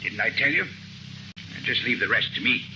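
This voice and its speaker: A man's voice: medium pitch, expressive, moderate pace, lo-fi sound, lightly acted. An actor playing a shifty male hotel clerk in a 1950s radio drama, pushy and evasive. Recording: noisy vintage radio recording.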